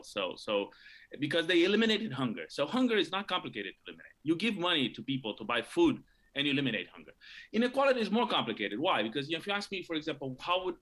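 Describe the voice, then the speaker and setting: A middle-aged man from Argentina, a professor guest on a live talk show, speaking haltingly with many restarts and fillers. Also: mid-range voice, bright, forceful tone.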